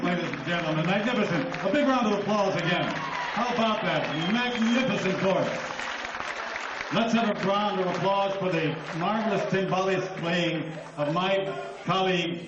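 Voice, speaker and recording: A man's voice: high voice, normal speed, lo-fi sound, heavy background noise. An older man announcing and reminiscing enthusiastically as emcee of a live concert. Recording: echoey live hall recording from far off. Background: audience crowd.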